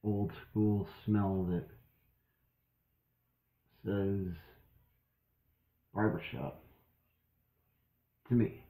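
Low-voiced young man, echoey bathroom. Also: little pitch movement, extremely slow, pauses often, mellow. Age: young adult. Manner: rambling, with many fillers and restarts. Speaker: shaving vlogger. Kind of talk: casual talk to camera. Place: small home bathroom. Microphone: webcam at arm's length. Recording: echoey small room.

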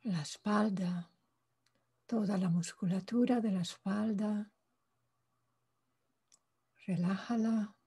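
A woman leading a guided meditation in a soft, breathy voice, calm and hushed, pausing between short phrases. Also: mid-range voice. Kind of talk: guided meditation, slow spoken instructions.

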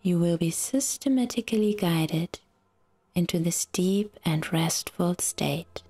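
A woman guiding a relaxation meditation in a soft, soothing, half-whispered voice with long pauses. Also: fairly low pitch, breathy edge, faint music behind. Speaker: yoga nidra guide.

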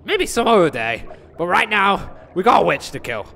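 A man's voice: high voice, big pitch swings, normal speed, creaky, sharp tone, a little hiss or hum, exaggerated voice. A man playing a brash, aggressive, foul-mouthed gnome in an audio comedy drama. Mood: gruffly mocking.

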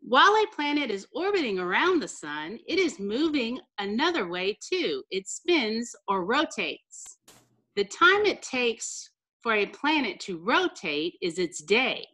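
A middle-aged American woman, a teacher reading a picture book aloud to children with careful, deliberate enunciation over a video call. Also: on the high side, big pitch swings, bright, forceful tone. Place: small room indoors, on a video call.

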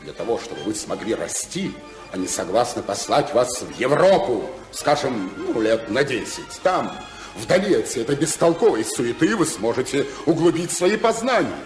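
An actor playing a sly, oily newspaperman offering bribes in a 1950s radio play, with insinuating, theatrical, drawn-out phrasing. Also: medium pitch, big pitch swings, lightly acted. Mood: ingratiating, persuasive. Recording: roomy 1955 radio recording, faint music.

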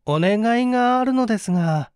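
A scheming male prisoner acted by a man reader speaks in a high, lilting voice. He is courteous and ingratiating, softly coaxing as in an audiobook drama, recorded close and dry. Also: expressive, a little fast, clean tone, dry recording. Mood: politely coaxing. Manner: soft-spoken, ingratiating. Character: sly, meek-seeming male prisoner, coaxing and polite.

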